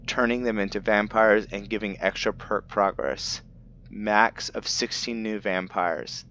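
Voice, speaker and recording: A man's voice: lowish voice, fairly bright tone. A man giving casual gameplay commentary, thinking aloud as he plays. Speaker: gamer narrating own roleplay playthrough. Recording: slightly muffled, game music behind. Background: video game music.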